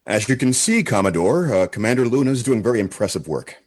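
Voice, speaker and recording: Husky, animated male station officer, acted. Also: medium pitch, brisk. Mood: formal, diplomatic. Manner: formal, placating. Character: dutiful, diplomatic male officer guiding an inspection. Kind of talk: comedy sci-fi radio acting.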